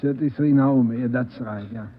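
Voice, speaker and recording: A man's voice: medium pitch, fairly even intonation, normal speed, natural voice. An actor playing a sad, kindly immigrant restaurant owner, repeating his words in 1940s radio drama style. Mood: wistful. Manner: wistful, repeats phrases for emphasis. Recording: muffled vintage 1940s radio recording.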